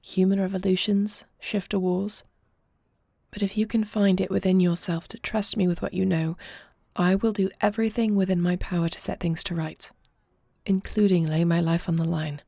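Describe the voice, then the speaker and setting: A woman plays an earnest fantasy princess who leads in war. Her voice is low and mellow with a gravelly edge, and she speaks formally and solemnly, as in a scripted audio drama. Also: flat delivery, dry recording, studio quality. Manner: formal, solemn, deliberate phrasing. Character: young adopted princess and war leader, earnest, measured, regal. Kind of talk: scripted audio roleplay acting.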